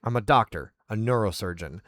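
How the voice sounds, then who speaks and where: A man voicing an exhausted, injured male doctor pleading quietly. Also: on the low side, lively intonation, a little fast, no echo, clean studio sound. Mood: weary.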